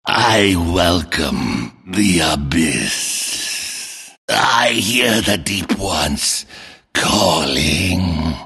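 Grim fish monster guard from a video game, super low raspy growl, slow and menacing. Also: male voice, full character voice. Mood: grim, defeated. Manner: slow, forceful, menacing delivery. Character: menacing deep-sea fish-man guard, grim and proud. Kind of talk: video game character voice lines.

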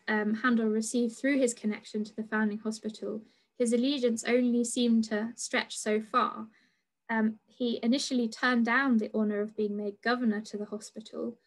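Young woman, slightly high voice, halting academic talk over a webcam, full of ums and restarts. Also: poor audio. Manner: hesitant, many fillers and restarts. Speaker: PhD researcher giving a talk. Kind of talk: online lecture presentation. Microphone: video call webcam.

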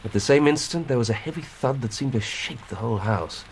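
An English actor playing an earnest, plain-spoken police inspector in a radio drama, reporting methodically and emphatically. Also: male voice, mid-range voice, animated, fairly quick, slight background noise, lightly acted. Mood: earnest, matter-of-fact. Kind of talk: 1990s British radio drama acting.

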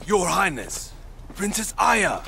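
A man's voice: high voice, very animated, slow, gravelly, pushed and bright, slight background noise, exaggerated voice. An actor playing an older royal retainer in a game, speaking formally and deferentially as he delivers messages. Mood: urgent. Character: old royal retainer, formal, urgent, respectful messenger.

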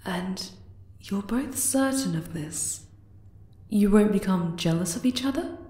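A woman voicing a regal, affectionate drider queen in an ASMR roleplay, measured and gently commanding. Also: mid-range voice, animated, reverberant, natural voice. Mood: stunned, touched.

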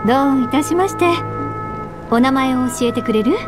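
A woman voicing a composed, polite young church woman in a Japanese video game, formal, refined and gently firm. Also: sits high, normal speed, loud music behind the voice, natural voice. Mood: gentle.

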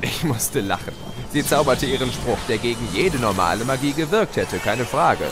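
A man playing a gloating, mischievous spirit of chaos who tells his tale in a theatrical, mocking, drawn-out way for an audio drama. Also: mid-range voice, expressive, over music, lightly acted. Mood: smug storytelling. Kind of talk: German audio drama narration and character acting.

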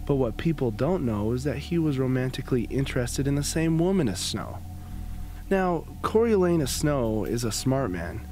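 A man's voice: medium pitch, big pitch swings, normal speed, a little mellow. Man acting a young rebel, noisy recording. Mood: grave, insinuating. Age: young adult. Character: young male rebel revealing a tyrant's dark secrets. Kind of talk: radio play acting. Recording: noticeable background noise.

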